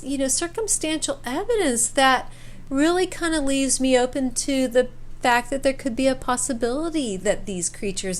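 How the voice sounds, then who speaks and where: A woman telling a personal story casually, acting out bits of dialogue and repeating words for emphasis. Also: high-pitched. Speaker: storyteller sharing a personal camping experience.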